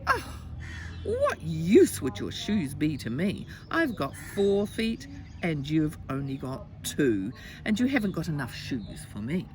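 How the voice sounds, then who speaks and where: A woman's voice: low-pitched, wildly swooping pitch, slow pace, a little mellow, exaggerated voice. A woman voicing a vain, threatening male tiger in a children's storybook. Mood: scornful. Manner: boastful, threatening. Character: grand, menacing, vain male tiger, easily persuaded.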